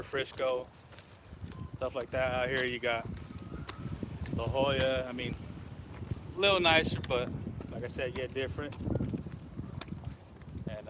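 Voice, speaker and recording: Low-key man, off-mic outdoors. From San Jose, California. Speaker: guest. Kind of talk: casual chat. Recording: distant from the mic with outdoor noise.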